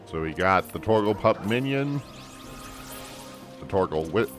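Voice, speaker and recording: Guy streamer chatting over his game, low voice, faint music behind. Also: expressive, measured pace. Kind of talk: casual gameplay commentary.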